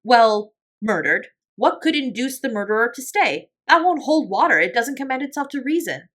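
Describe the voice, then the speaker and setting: A woman's voice: fairly high pitch, big pitch swings, normal speed, fairly bright tone, voice pushed up. A woman voicing a stiff, middle-aged male lawyer in a storybook, formal, fussy and reproving, with a skeptical temper.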